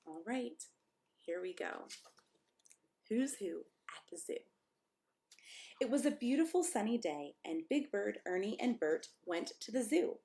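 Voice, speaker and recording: Expressive woman reading to children. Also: medium pitch, unhurried, pauses often. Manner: expressive, encouraging questions to listeners. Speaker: pastor reading a story to children. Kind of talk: read-aloud storytime, chatting to young children.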